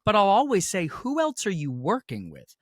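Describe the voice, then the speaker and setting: A man's voice: high-pitched, huge pitch swings, normal speed, a bit bright, no echo, clean studio sound. A man being interviewed, talking in an articulate, persuasive and emphatic conversational way. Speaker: interview guest, festival organizer and actual play producer.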